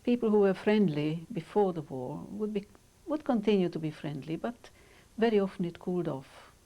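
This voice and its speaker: An older woman with a low, gravelly voice and a mellow, dark tone. She speaks in measured, reflective sentences and pauses often. Her first language is Hungarian, and she is being interviewed about her childhood. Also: low-quality recording. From Romania. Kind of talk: oral history interview.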